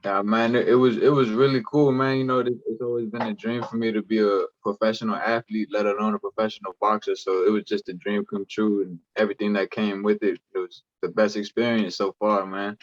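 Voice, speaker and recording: A polite, laid-back young man speaks as a guest in an interview. His voice sits a little low and comes through dull and lo-fi. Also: flat delivery, fairly quick, hardly any pauses. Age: young adult. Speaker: boxer guest.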